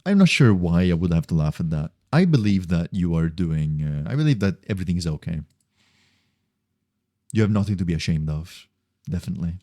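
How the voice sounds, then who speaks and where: A man's voice: low-pitched, expressive, a little fast, dark tone, no room sound, clean studio sound. A man chatting casually on a game livestream, thinking aloud in a rambling, repetitive way. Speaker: game streamer. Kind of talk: casual livestream chat while gaming.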